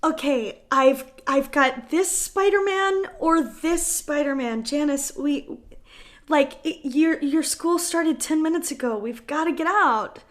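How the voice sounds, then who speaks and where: A woman improvising a comedy scene over a video call, chatty and quick to jump in. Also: high-pitched. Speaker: improv performer.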